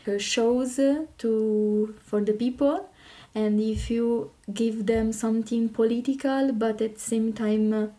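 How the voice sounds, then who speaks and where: A woman with an Italian accent speaks as an interview guest. Her voice is fairly high yet dark and relaxed. She goes slowly, with halting, hesitant phrasing. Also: low-quality recording. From Italy. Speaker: podcast guest. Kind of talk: informal interview talk.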